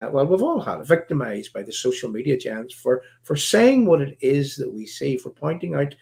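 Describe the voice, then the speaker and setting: A man with a Northern Irish accent hosting a live stream, talking in a halting, rambling way full of fillers, his voice croaky. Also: medium pitch, sing-song, darkish tone. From Northern Ireland. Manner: halting, many fillers and restarts. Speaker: livestream host.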